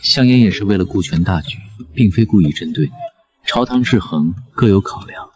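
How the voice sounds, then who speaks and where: A man playing a low-voiced, frail, gentle nobleman. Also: expressive, a little fast. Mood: soothing. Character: frail, soft-spoken, secretly scheming male court nobleman. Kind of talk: animated costume drama acting.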